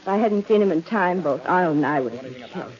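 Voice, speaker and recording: An actress playing a frightened, melodramatic wife haunted by dread in a vintage radio suspense drama. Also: female voice, low-pitched, animated, fast, darkish tone. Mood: tense, insistent. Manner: anxious, melodramatic. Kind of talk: 1940s radio suspense acting. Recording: dull old radio recording.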